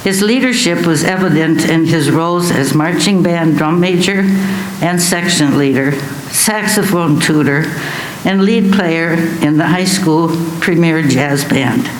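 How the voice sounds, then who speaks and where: Higher-pitched man reading in an echoey room. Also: a little slow. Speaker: award presenter. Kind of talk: prepared ceremony speech read aloud. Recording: echoey room, faint background noise.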